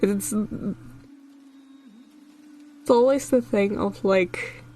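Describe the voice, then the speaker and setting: A woman's voice: medium pitch, drawn-out words, a little mellow. A woman streamer whose first language is Dutch, chatting casually and rambling with frequent laughter. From Netherlands. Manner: giggly, rambling, laughs often. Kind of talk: casual chat with live chat.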